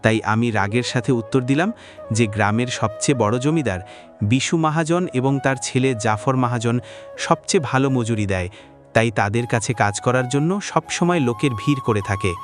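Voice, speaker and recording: A man voicing a humble, flattering poor farm labourer in a cartoon, speaking in a deferential, pleading way. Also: medium pitch, moderate pace, over music, close to an everyday voice. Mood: aggrieved. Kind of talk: cartoon dialogue acting.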